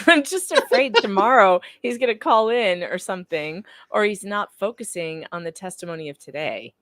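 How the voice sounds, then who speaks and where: Very animated woman, dry close sound. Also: mid-range voice, normal speed, no room sound. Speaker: guest co-reader. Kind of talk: casual dramatic reading of court transcript with banter.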